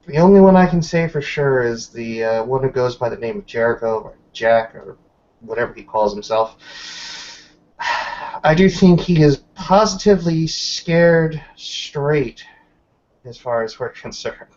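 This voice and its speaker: A man playing a wary, blunt female werewolf with a measured, formal and deliberate way of talking. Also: medium pitch, animated, a little mellow, highs cut off, lightly acted. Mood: measured, concerned.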